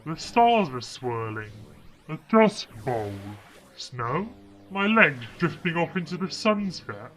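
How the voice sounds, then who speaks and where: A woman's voice: deep voice, big pitch swings, slow, fairly bright tone, soft background music, full character voice. A woman playing a dazed, bewildered traveller describing strange visions in a comic sci-fi audio drama. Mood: dazed, alarmed.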